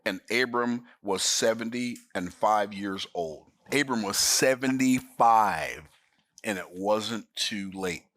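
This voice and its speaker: Guy in his sixties giving a bible study, bright lively voice, folksy n emphatic. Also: on the low side, slow, no room sound, clean studio sound. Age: 60s. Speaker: Bible teacher. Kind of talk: sermon-style Bible study.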